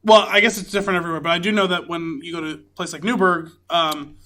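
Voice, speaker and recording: Guy on a podcast, bright voice a bit on the high side, casually explaining stuff, kinda searching for words. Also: steady tone, fast. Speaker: podcast co-host. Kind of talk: casual chat about craft beer and films.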